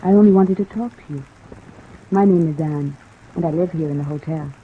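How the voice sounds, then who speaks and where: A woman's voice: low voice, fairly quick, lightly acted. Friendly, inquisitive young club singer, played by a woman. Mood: friendly, forward. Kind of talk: 1950s American radio drama acting. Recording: tinny vintage radio, steady rain noise.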